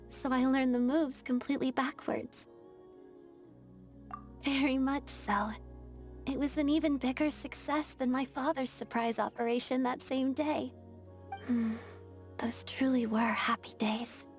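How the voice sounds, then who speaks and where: A woman voicing an earnest, disciplined young ballet dancer in a video game, speaking in a composed, formal and measured way. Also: a little high, lightly acted. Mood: fond, reminiscing. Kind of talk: video game character voice acting.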